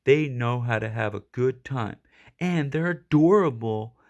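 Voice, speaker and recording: A man voicing a big, gruff, blustering tough dog with a soft heart, in a storybook reading. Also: mid-range voice, lively intonation, unhurried, dull audio. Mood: defiant. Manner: growly, blustering.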